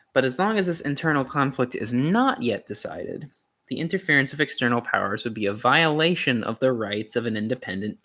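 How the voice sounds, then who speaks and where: A man's voice: mid-range voice, pushed and bright. A man reading an essay aloud as an amateur, stumbling now and then and correcting himself, with a casual touch. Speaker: amateur reader of a philosophy essay.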